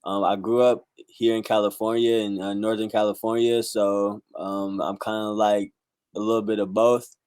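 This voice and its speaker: A man in his twenties from South Carolina, a musician talking casually in an interview, relaxed and full of fillers. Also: lowish voice, moderate pace, smooth, no room sound. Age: 20s. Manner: laid-back, many fillers like "um", "like" and "bro". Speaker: musician guest.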